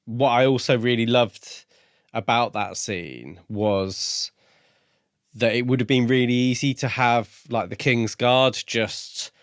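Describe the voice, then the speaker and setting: A man's voice: mid-range voice, slow pace, smooth, no echo, clean studio sound. A man co-hosting a podcast, casually discussing books in a thoughtful, agreeable manner. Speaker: podcast co-host.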